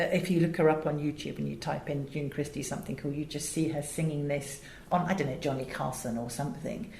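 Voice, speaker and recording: A woman with an English accent talking as an interview guest, chatty and expressive, often breaking off and restarting. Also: low voice, creaky, a little hiss or hum. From England. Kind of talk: animated interview conversation. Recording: echoey room.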